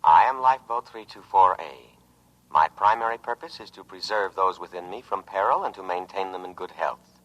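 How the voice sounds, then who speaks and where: An actor voicing a solemn robot lifeboat in a radio drama, reciting formally and in measured phrases. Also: male voice, sits low, pushed and bright. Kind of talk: scripted radio drama acting. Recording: muffled old radio drama recording.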